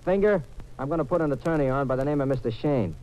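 A man's voice: medium pitch, fast talker, warm tone, lightly acted. An American actor playing a streetwise, wisecracking young tough guy in a 1950s radio detective drama, with slangy, wry delivery. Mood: urgent. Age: young adult. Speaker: lead actor and narrator. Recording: muffled, noisy old radio recording.

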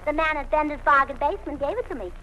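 A woman's voice: sits high, quick pace, sharp tone, low-quality recording. A woman playing a cheerfully illogical, scatterbrained young wife in a 1940s radio comedy, talking in a chirpy, earnest way. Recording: old radio broadcast with hiss.

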